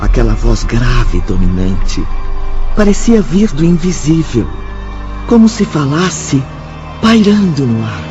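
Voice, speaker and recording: A man's voice: mid-range voice, sing-song, takes it slowly, a little mellow. A Brazilian man narrating a radio novel as a solemn storyteller, in a dull recording with music behind him. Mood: grave, wondering. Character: solemn storyteller of a spiritual tragedy.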